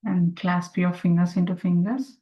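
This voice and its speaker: A woman leading a guided meditation in a calm, deliberate, soothing way, with pauses between short phrases. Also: on the low side, slightly rough, dull audio. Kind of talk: slow guided meditation instruction. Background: meditation music.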